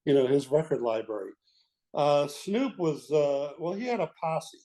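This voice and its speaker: An older man with a mellow, relaxed voice sits as a guest in an interview. He looks back on his career in a measured, halting way, searching for the next phrase as he tells his stories. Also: on the high side. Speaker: guest, veteran radio executive. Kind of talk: reminiscing interview talk.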